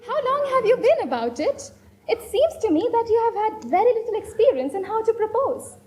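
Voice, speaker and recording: A woman's voice: very high voice, very animated, fairly quick, warm tone. A woman playing an imperious, fanciful young society lady in a stage comedy of manners, declaiming with affected, grand emphasis. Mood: coy, commanding. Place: indoor stage performance. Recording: roomy stage recording with faint noise.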